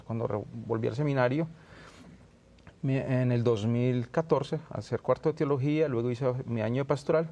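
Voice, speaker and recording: A man's voice: mid-range voice, dark tone. A man in his thirties with a Colombian accent from Antioquia, a priest giving reflective, searching answers in an interview.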